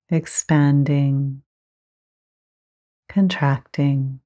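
A woman teaching guided meditation, giving gentle, measured instructions with frequent pauses. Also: low voice.

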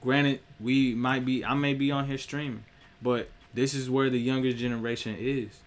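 A man's voice: mid-range voice, little pitch movement, moderate pace. Profane preachy streamer man. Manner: profane, preachy, direct address. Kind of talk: casual spiritual monologue. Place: small indoor room.

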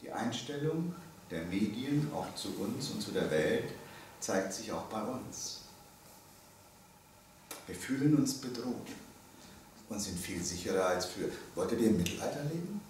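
A man's voice: lowish voice, big pitch swings, a little mellow. Older man lecturing in a very echoey room. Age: early 70s. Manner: emphatic, deliberate, with pauses for emphasis. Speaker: lecturer, theology professor. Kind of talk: public lecture with rhetorical questions to listeners. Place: indoor seminar room. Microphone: microphone on a stand. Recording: very echoey room, distant, faint noise.

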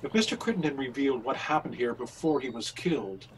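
A man's voice: medium pitch, lively intonation, fast talker, lightly acted, poor audio. A man playing a formal, articulate, insistent reverend detective in a period radio mystery. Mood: urgent, commanding. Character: urgent, commanding reverend amateur detective in 1900s mystery. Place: indoor home video call. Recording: faint noise on video call.

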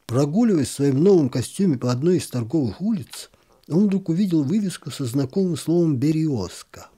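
A man's voice: on the high side, warm tone, husky. A man narrating a literary short story as an audiobook reader.

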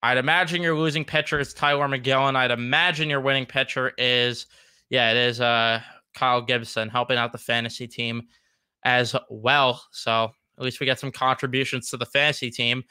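A man's voice: medium pitch, fairly even intonation, moderate pace, sharp tone, clean tone, no room sound. A young man in his twenties hosting a solo postgame baseball recap from home, blunt, frustrated and rambling. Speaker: sports podcast host. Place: home room, gaming chair.

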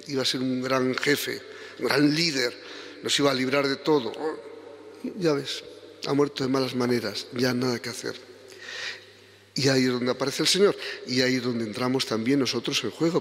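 A man's voice: medium pitch, fairly bright tone. An older man in his sixties, a priest with a Spanish accent from Spain, preaching a homily at Mass in a conversational way that rises to fervent emphasis. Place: large church, indoors. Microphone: stand microphone at lectern. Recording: long church reverb.

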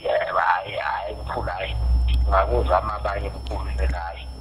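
A man's voice: low voice, steady tone, moderate pace, fairly bright tone. A South African man talking casually over the telephone. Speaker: musician taking a phone call. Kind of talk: casual phone conversation. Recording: noisy, humming phone line.

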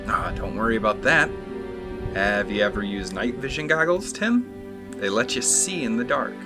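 A man's voice: on the low side, lively intonation, normal speed, music in the background. A man playing a dry, reassuring scientist in a fan audio drama.

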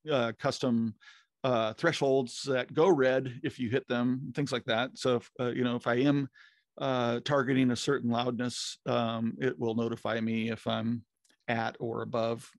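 A man's voice: mid-range voice, no room sound. A man in his fifties co-hosting a podcast from a home music studio, chatting casually about audio tools with lots of fillers and restarts. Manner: rambling, many fillers and restarts. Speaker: podcast co-host. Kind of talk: casual technical chat. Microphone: studio mic with headphones, video call.

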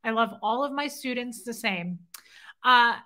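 Bright-voiced woman hosting a playful livestream. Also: a little high, unhurried. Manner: playful, teasing, flirty banter. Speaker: livestream host. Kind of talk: casual livestream chat reading comments. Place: home living room, indoors. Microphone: webcam at desk.

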